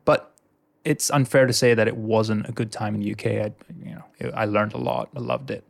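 A man's voice: fairly low pitch, steady tone, fairly quick, creaky. A young man in his twenties from Indonesia, a podcast guest telling his story in an easygoing, chatty way. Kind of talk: casual interview chat.

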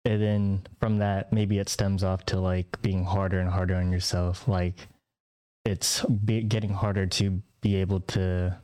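A man's voice: low-pitched, dark tone. A man co-hosting a casual podcast, talking in a hesitant way with frequent fillers and laughs. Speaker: podcast co-host.

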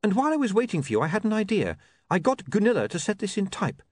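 A man's voice: high-pitched, very animated, fast, husky, no echo, pitched above the performer's own voice, full character voice. A man voicing a shy, proper young woman who is eager but nervous, with a modest, hesitant manner.

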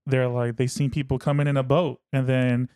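A man hosts a podcast in a relaxed, slangy way and swears without a second thought. His voice is smooth and clean and sits at an ordinary pitch for a man. The recording is dry and close to the mic. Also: brisk, no room sound. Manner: laid-back, swears casually, says "dude". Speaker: podcast host. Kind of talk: casual podcast chat.

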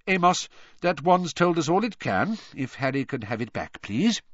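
Guy doing a tired-of-fuss dad, middle aged, kind but firm, bit urgent, storybook, lively pitch. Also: moderate pace, no room sound, recorded from a distance, highs cut off. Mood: quietly firm. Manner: quiet, urgent, impatient.

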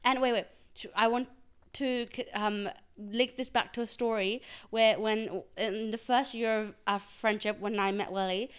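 A woman's voice: a little high, clear, resonant tone. A woman in her twenties, born in Pakistan with Urdu as her first language, co-hosting a casual podcast chat with lots of laughter. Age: 20s. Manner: rapid, animated, laughing often. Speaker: podcast co-host.

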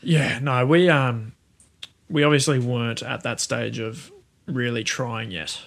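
A man's voice: medium pitch, steady tone, a little slow, vocal fry, fairly bright tone. A man co-hosting a podcast, chatting casually and agreeably with short replies and easy banter. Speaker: podcast co-host.

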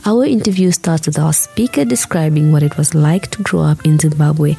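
A woman host reading scripted narration in a calm, measured way. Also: low-pitched, creaky. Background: faint music.

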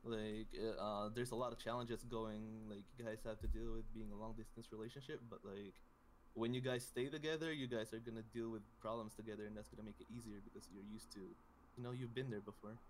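A man's voice: fairly low pitch, steady tone, a little fast, slight background noise, rough recording. A man in his early twenties, from the Philippines, guesting on a podcast and chatting casually with many fillers and restarts. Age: 20s. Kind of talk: casual chat.

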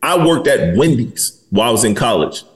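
A man's voice: medium pitch, sing-song, normal speed. Blunt man in his forties. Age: 40s. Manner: blunt, candid, swears freely. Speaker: podcast host. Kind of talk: casual podcast conversation.

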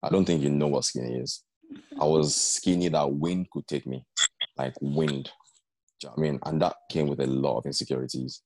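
Very deep-voiced man, earnest and chatty. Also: steady tone, brisk, gravelly, a little mellow, no room sound. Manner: earnest, storytelling, keeps asking "do you know what I mean". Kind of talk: casual group chat.

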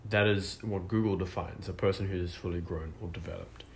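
Young man, very deep voice, hesitant podcast host, faint background hiss. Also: fairly even intonation, brisk. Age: 20s. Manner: hesitant, lots of fillers and restarts. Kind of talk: casual podcast chat.

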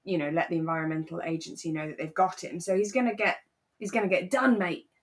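British woman in relaxed, chatty conversation. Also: fairly low pitch, fast talker. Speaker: podcast co-host. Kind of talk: casual chat recapping a radio drama.

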